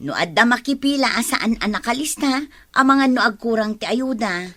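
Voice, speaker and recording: A woman playing a brisk, no-nonsense figure in a village comedy drama, with a Filipino accent. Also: on the high side, expressive, bright, forceful tone. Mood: businesslike.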